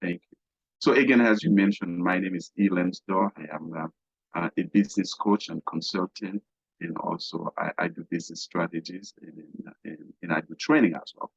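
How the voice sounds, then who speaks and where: A middle-aged man from Haiti, a guest business coach talking haltingly in an interview, with many fillers and restarts. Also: sits low, creaky, breathy edge, no echo. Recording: dull, dry lo-fi sound.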